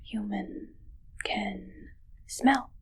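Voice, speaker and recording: A woman whispering an ASMR roleplay as an odd, suspicious medical examiner, in halting, stilted short phrases. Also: medium pitch, slightly rough.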